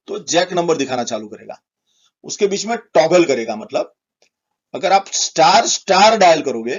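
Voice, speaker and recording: A man's voice: sits high, lo-fi sound. A man giving a methodical, step-by-step technical tutorial as an instructor. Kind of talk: step-by-step product tutorial explanation.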